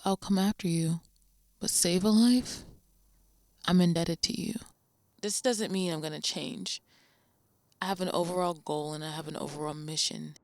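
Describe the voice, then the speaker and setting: A woman's voice: fairly low pitch, lightly acted. A woman playing a cocky, sarcastic supervillain with a grudging code of honour in a radio drama. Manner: dry, sarcastic, self-assured. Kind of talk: first-person radio drama monologue and dialogue.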